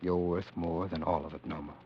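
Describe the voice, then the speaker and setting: An actor in a vintage radio drama, playing a haunted man who once ruled the world, who narrates his dream with earnest, halting intensity. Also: deep voice, level tone, creaky, a little hiss or hum. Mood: tender. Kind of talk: 1940s radio acting. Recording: dull old radio broadcast.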